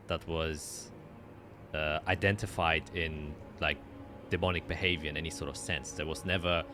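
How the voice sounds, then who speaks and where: A man narrating a tabletop roleplaying game as game master, explaining in a halting way and often rephrasing. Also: low voice, a bit bright.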